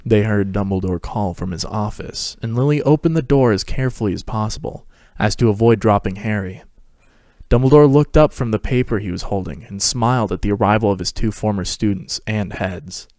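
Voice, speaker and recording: A man's voice: lowish voice, brisk, darkish tone, no echo, studio quality. A man hosting and narrating a dramatic reading of a fan fiction story.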